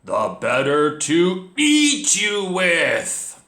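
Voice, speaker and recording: A man voicing a sly, hungry big bad wolf in a children's storybook play, with a dark, menacing, drawn-out delivery. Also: high-pitched, huge pitch swings, voice pushed up, heavily acted. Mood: ravenous. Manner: slow, drawn-out, forceful. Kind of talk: children's storybook readers theater. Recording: echoey room.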